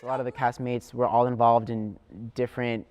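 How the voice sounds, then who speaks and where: A man's voice: mid-range voice, little pitch movement, fairly quick, darkish tone, slight background noise. A teenage young man, a student actor, giving casual answers in an interview. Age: teens.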